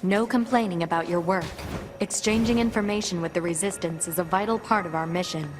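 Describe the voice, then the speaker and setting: A woman's voice: on the low side, a little fast, natural voice. A woman playing a composed, strict female combat android in a video game, cool, restrained and matter-of-fact. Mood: firm. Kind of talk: English video game voice acting. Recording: game music playing loudly behind.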